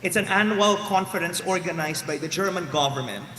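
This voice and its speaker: A man with a Filipino accent giving a talk over a video call from a hotel room, speaking loudly with many fillers. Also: high-pitched, brisk, a little hiss or hum. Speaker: guest lecturer. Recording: echoey, roomy, distant video call audio.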